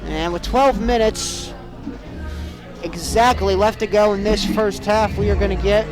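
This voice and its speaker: A young man doing excitable live play-by-play sports commentary as a student sportscaster. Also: high-pitched, measured pace, over music. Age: young adult. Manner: excitable, bursting into shouts on big plays.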